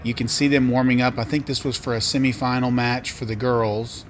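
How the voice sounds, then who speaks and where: A man hosting a casual tennis travel vlog, narrating in a relaxed, upbeat way. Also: medium pitch, brisk, a little hiss or hum. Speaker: podcast host and tennis parent. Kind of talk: casual vlog voice-over and chat.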